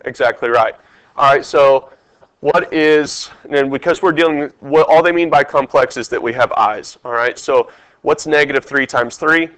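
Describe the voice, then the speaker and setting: A man's voice: mid-range voice, moderate pace, a bit bright, no room sound, low-quality recording. A man with a stuffed-up, congested voice, walking a class through test questions step by step and quizzing them as he goes. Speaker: math teacher. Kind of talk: test review lesson over screen capture.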